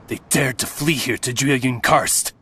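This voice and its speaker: A man's voice: mid-range voice, unhurried, pushed and bright, slight background noise. An actor playing a dogged male soldier in a fantasy game, urgent and dutiful in manner. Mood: urgent, resolute.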